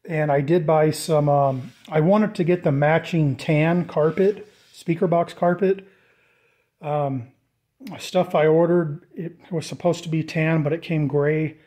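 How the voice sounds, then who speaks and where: A man giving a casual, off-the-cuff walkthrough of a DIY project, informal and thinking out loud as he goes. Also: fairly high pitch, steady tone, a little slow. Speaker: DIY vlogger presenting a build.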